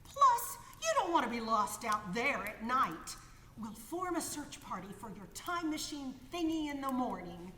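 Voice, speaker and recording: A woman's voice: high voice, big pitch swings, measured pace, pushed and bright, full character voice. An actress playing a brash, good-humored 1930s woman aviator with breezy, slangy talk in a radio play. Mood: exuberant. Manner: breezy slangy talk, calls people kiddo. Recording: echoey hall, faint background noise.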